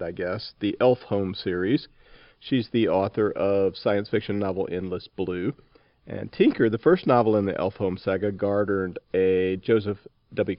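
A man's voice: on the low side, no room sound, dull audio. A man hosting a podcast interview, introducing and questioning a guest. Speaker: podcast host.

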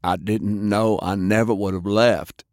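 A man voicing a strong war veteran full of grief, speaking hushed and anguished in an audiobook drama. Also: lowish voice, fairly even intonation, unhurried, dry recording, studio quality, close to an everyday voice. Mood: anguished guilt.

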